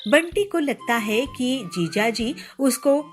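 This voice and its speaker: A woman narrating a children's cartoon story in an expressive, lilting way. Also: on the high side, slow pace, soft background music.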